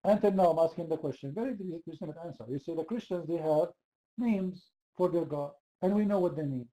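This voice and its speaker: Man, debate host, high voice, very dark mellow tone, insistent, repeats himself. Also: a little fast, poor audio. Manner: insistent, repeats the same question. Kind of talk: heated online religious debate.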